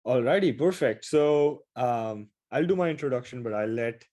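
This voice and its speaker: A man's voice: medium pitch, expressive, warm tone. Upbeat Indian man presenting on a call. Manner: upbeat, quick and casual, with frequent fillers. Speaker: webinar presenter. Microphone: video call.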